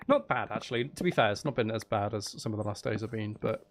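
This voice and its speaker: A man's voice: fairly low pitch, quick pace, a little hiss or hum. A man hosting a live game stream, chatting casually and playfully to his viewers and to himself. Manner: playful, talks to chat and to himself. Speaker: streamer and channel host.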